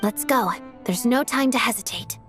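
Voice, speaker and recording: A woman's voice: on the high side, moderate pace, music in the background, close to an everyday voice. A woman voicing a resolute young female agent in a video game, composed and purposeful in her delivery. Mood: resolute, urgent. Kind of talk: video game cutscene acting.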